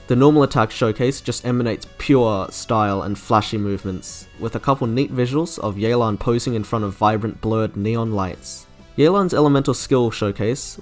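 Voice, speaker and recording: A man reading a scripted video review as its narrator. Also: mid-range voice. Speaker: video essay host ranking game trailers.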